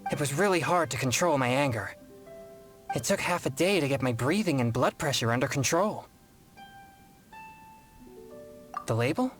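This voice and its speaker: A man plays a serious teenage boy exorcist from a video game. The manner is formal, courteous and very earnest. The pitch moves in lively swings, with soft music behind the voice. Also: a little fast, lightly acted. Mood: indignant. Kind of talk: scripted video game voice acting.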